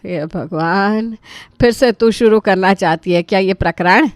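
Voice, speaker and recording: A woman's voice: mid-range voice, unhurried, no echo, lightly acted. Woman acting an exasperated, scolding mother-in-law. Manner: complaining, dramatic. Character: exasperated middle-aged mother-in-law, scolding and put-upon.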